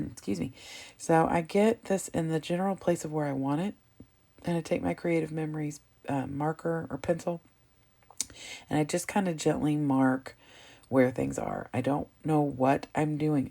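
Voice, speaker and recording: A woman hosting a scrapbooking video, talking through her process in a chatty, rambling way with a slightly hoarse, froggy throat. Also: low-pitched, dark tone. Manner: chatty, rambling, with frequent ums and self-corrections. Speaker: craft video host. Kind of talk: casual narration of a scrapbooking process.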